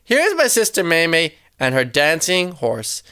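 A woman's voice: deep voice, wildly swooping pitch, measured pace, bright, forceful tone, smooth, dry recording, studio quality, exaggerated voice. A woman voicing a bossy, theatrical stage-singer sister in a children's story, with a matter-of-fact way of talking. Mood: showy, composed.

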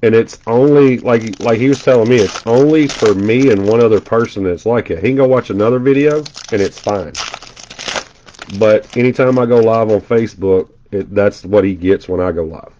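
Stuffy-nosed guy talking fast over a card break, kinda low voice, muffled recording. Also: mellow, slight background noise. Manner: rattles off player names rapidly, chatty asides. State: congested. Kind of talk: casual live-stream commentary while opening packs. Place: indoor room at a table.

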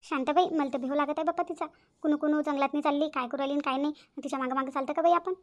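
A woman's voice: high-pitched, fairly even intonation, racing, close to an everyday voice. A woman voicing a timid, curious village sidekick in a cartoon, exclamatory and questioning, with a Varhadi Marathi accent. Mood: nervous, excited. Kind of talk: cartoon character dialogue.

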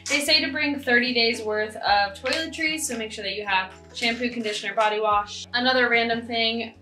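A woman's voice: a little high, music in the background. A young woman in her twenties vlogging casually to camera, chatty and upbeat but tired, recorded in a hotel room and a small cabin with the camera close by or at arm's length. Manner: chatty, upbeat, quick asides and laughs. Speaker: cruise ship dancer vlogger. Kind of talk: casual vlog talking to camera. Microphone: camera on a tripod or held at arm's length.

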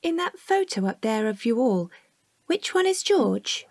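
A woman's voice: on the high side, big pitch swings, a little slow, darkish tone, exaggerated voice. A woman playing an eager, awed nine-year-old girl full of questions in an audio drama. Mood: curious. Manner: wide-eyed, questioning, childlike.

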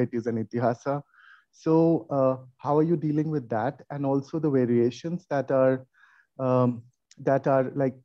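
A man with an Indian accent giving academic comments and questions in a halting, thinking-aloud manner at an online conference. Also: medium pitch, dry recording. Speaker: conference panel audience questioner. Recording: muffled lo-fi online call.

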